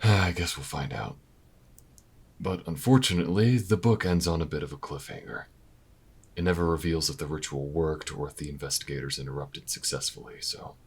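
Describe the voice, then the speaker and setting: An actor playing a weary, wry, protective investigator in an intimate audio drama, low-key and dry, with hesitant pauses. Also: male voice, exaggerated voice. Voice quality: very deep, gravelly, husky. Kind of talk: audio roleplay acting, intimate and naturalistic.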